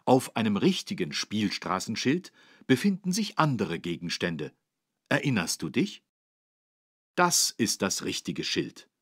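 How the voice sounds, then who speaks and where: A low, husky-voiced man as a friendly teacher in a children's learning game. His scripted narration is patient and encouraging, with very lively intonation. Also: a little slow, dry recording, clean studio sound, full character voice. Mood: instructive. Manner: encouraging, clearly didactic. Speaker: instructor and narrator.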